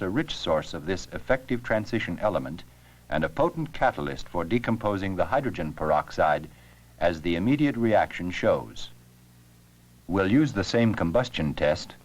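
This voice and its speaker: Deep-voiced man narrating a science film. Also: rough recording. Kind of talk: scripted educational narration.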